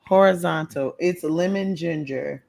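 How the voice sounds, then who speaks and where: A young woman co-hosting a casual podcast chat, a little hoarse, teasing and laughing often. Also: deep voice, measured pace, darkish tone. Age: young adult. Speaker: podcast co-host.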